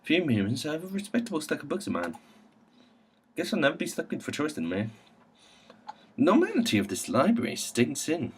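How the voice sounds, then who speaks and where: A man hosting a gaming video, reading visual novel text aloud with casual asides and halting stumbles over the words. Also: fairly high pitch, huge pitch swings, a little hiss or hum. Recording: music playing behind the voice.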